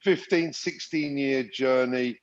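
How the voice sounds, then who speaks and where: A man's voice: mid-range voice, slow. A middle-aged man who grew up in Algeria and England, reflectively telling his story in an interview with many restarts. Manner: reflective, frequent restarts and fillers. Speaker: podcast guest. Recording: dull lo-fi sound.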